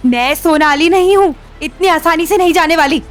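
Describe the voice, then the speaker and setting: Very high-pitched, defiant young wife, acted by a woman. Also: moderate pace. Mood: bitter, vengeful. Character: defiant, vengeful young wife, bitter and stubborn. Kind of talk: Hindi audio drama acting. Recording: music bed under the voice.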